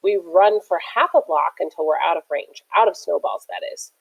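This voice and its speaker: A woman reading a children's book aloud as a teacher, in an expressive, theatrical way. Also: fairly low pitch, normal speed, gravelly, breathy edge, highs cut off.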